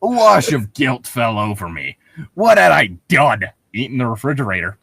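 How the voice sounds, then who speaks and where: A man's voice: mid-range voice, big pitch swings, moderate pace, vocal fry, a bit bright, slightly rough, no echo, studio quality, heavily acted. A man voicing a miserable, guilt-stricken shark monster telling his own story in a fan fiction. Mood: guilty.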